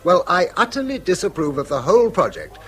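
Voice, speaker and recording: A man's voice: high voice, very animated, brisk. An actor playing a proud, disapproving middle-aged English schoolmaster father, speaking formally in a period radio drama. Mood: angry, indignant. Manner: formal, clipped, reproachful.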